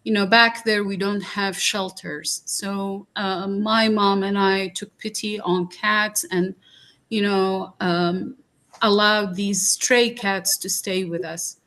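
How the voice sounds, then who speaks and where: A woman from Iraq speaks slowly and earnestly on a podcast. She leaves many pauses and fillers. Her voice sits at an ordinary middle pitch. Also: steady tone, a bit bright. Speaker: guest speaker. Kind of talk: reflective podcast talk.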